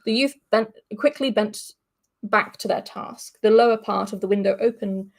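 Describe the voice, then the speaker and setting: A woman's voice: mid-range voice, moderate pace, creaky. A woman podcast host reading a story aloud in a chatty manner. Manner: chatty, laughs between passages.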